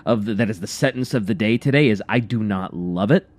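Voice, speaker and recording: A man co-hosting a radio show with casual, reactive banter, rambling and haltingly repeating his phrases. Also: on the low side, a little mellow.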